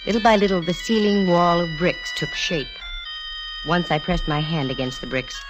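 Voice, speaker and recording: A cool female private eye, played by an actress in a 1940s radio drama. She speaks low and dry, with sardonic wisecracks, and music plays clearly behind her. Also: moderate pace, close to an everyday voice. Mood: tense narration. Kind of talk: 1940s radio acting, first-person narration and dialogue.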